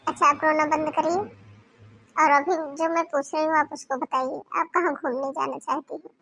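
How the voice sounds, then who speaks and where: A woman voicing a caring young sister-in-law who talks gently and reassuringly. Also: sits high, level tone, moderate pace, slight background noise. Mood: soothing.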